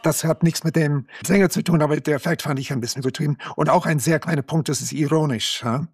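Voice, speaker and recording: Husky-voiced man, speaking German. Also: on the high side, steady tone, fast talker, soft background music. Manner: hesitant, with fillers and picky asides. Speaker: music critic panelist. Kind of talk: casual panel discussion.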